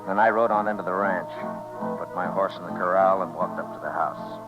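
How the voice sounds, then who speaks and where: A man playing a steady, courteous, laconic lawman in a 1950s radio Western. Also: low-pitched, a little fast, music in the background. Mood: measured narrating. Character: steady, courteous, laconic US Marshal in the Old West. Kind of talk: 1950s radio Western acting and first-person narration. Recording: muffled 1950s radio recording.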